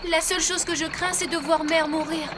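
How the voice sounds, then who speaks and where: A woman's voice: very high voice, fairly even intonation, fairly quick, noisy background. A woman voicing a kind, brave young heroine in a children's cartoon, speaking earnestly and sweetly. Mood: determined. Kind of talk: dubbed children's cartoon acting.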